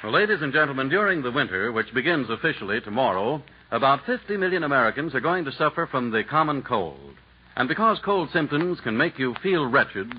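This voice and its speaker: Lively male announcer on old lo-fi radio. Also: medium pitch, husky. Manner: lively, hearty, jokey announcer delivery. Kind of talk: 1940s radio announcing and ad reading. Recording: dull lo-fi 1940s radio broadcast with faint hiss.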